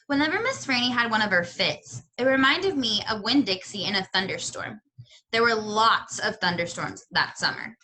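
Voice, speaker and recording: A woman reading a children's novel aloud like a teacher, with animated, expressive storytelling. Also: medium pitch, a little hiss or hum, low-quality recording. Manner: animated storytelling with pauses to question listeners.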